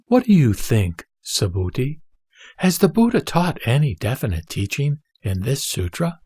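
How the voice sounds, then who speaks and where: Close, dry sound carries a serene male spiritual teacher played by a man in a scripture audio drama. He instructs his disciple in a middle-pitched voice, solemn and measured, laying out each point with care. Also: sing-song, darkish tone, slightly rough, no room sound. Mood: measured teaching.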